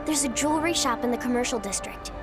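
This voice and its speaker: A woman's voice: fairly high pitch, fast talker, close to an everyday voice. A woman playing a bubbly, teasing, outspoken teenage girl in a video game scene. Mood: upbeat. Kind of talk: video game cutscene acting. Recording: music behind the voice.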